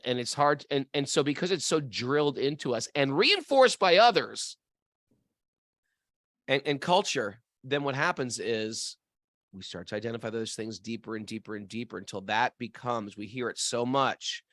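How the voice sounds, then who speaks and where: A man with a voice of everyday, middle pitch talks through ideas slowly in casual chat. He muses aloud and often stops to restart a thought. The sound is dry and close, with no room echo. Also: expressive, a bit bright. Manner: musing, thinks aloud with restarts. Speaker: podcast co-host. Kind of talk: casual spiritual discussion. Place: home area in New England.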